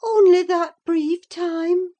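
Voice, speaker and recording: A woman's voice: sits high, slow pace, dark tone, muffled recording, voice pushed up. A woman voicing a delirious, frightened young married woman in an audiobook of a classic novel, fretful, pleading and prone to gasping exclamations. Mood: bewildered.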